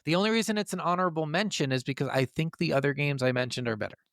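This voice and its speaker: A man's voice: fairly high pitch, racing, a bit bright, no room sound, studio quality. A man co-hosting a podcast, chatting casually about games in an informal way and swearing freely. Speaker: podcast co-host. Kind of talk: casual gaming chat.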